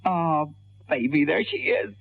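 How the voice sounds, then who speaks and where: Excitable small-time crook, tinny radio. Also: male voice, a little high, unhurried, vocal fry, music in the background. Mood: excited, eager. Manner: folksy slangy, excitable, stammering. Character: gullible eager male small-time crook, smug and sweet-talking. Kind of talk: mid-century radio crime drama acting. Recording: tinny old radio recording.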